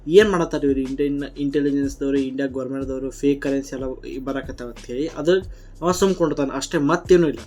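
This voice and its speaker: A man's voice: mid-range voice, fairly even intonation, fast talker, a little mellow. A man with a North Karnataka accent giving blunt, combative, colloquial reaction commentary. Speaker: YouTube commentator.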